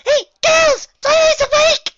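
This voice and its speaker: A woman voicing a bouncy young female pony with a high squeal and gushing delivery, in a storybook reading. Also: fairly even intonation, takes it slowly, lo-fi sound, very noisy. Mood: overjoyed. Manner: gushing, exclamatory.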